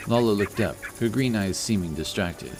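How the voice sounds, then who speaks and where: A man's voice: lowish voice, lively intonation, normal speed. A man reading scripted fantasy narration as a storyteller, with music behind his voice.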